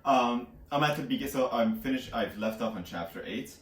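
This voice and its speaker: A man's voice: mid-range voice, animated, normal speed. A young man giving a casual, rambling recap as co-host, slangy and amused, peppering it with "like". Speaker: book podcast co-host. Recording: echoey room, distant from microphone.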